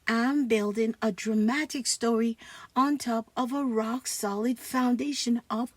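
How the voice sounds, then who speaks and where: A woman's voice: fairly high pitch, steady tone, unhurried, dry recording. A woman playing a cocky schoolboy from a comic strip, self-important and theatrical, in a storybook read-aloud. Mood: defensive. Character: cocky, dramatic schoolboy with grandiose ideas.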